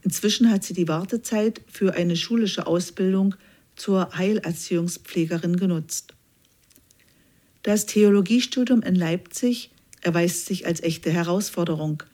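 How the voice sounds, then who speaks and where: A woman reading a scripted news feature aloud in an even, measured way. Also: lowish voice. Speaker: news reader.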